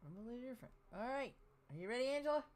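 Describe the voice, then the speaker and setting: A woman's voice: fairly high pitch, expressive, fast, a little mellow. A woman hosting a casual panel podcast, chatting informally. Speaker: podcast host.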